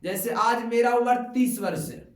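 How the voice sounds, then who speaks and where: A man's voice: extremely high pitch, moderate pace. A male maths teacher from Bihar taking a class, explaining step by step and constantly quizzing a pupil. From Bihar, India. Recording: echoey room.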